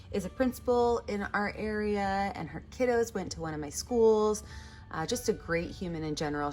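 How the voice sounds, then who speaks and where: A woman art teacher hosting an instructional step-by-step tutorial, addressing viewers in a friendly, direct way. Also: on the low side. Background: background music.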